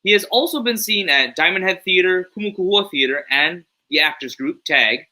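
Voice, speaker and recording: Bright-voiced upbeat male host. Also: high-pitched, normal speed. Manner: upbeat, friendly, lively interjections. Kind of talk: casual interview chat.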